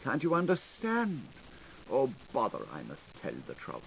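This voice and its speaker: A man's voice: mid-range voice, huge pitch swings, moderate pace, creaky, voice pushed up. A man voicing a coquettish young girl, noisy tape. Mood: coy, gushing. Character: coquettish, gushing young Irish girl writing love letters. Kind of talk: audiobook character reading of literary fiction. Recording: hissy 1980s audiobook recording.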